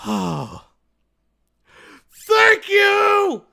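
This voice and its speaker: A woman's voice: extremely high pitch, wildly swooping pitch, extremely slow, vocal fry, pushed and bright, shouting, heavily acted. A woman voicing a melodramatic, overwrought vehicle owner in a comic anime audio drama, on a noisy home recording. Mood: wailing, overwrought. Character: melodramatic motorbike owner wailing over a beloved vehicle.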